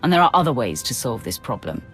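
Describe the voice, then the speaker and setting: Imperious Sith warrior, very expressive. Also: female voice, rumbling low, fast talker, faint music behind, heavily acted. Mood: firm. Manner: haughty, assured. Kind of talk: video game voice acting.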